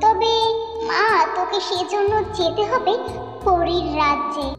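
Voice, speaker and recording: A woman voicing the gentle, loving ghost of a mother bird in a cartoon, soothing and tender. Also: very high-pitched, takes it slowly, echoey room, big-room sound, over music. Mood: tender, consoling.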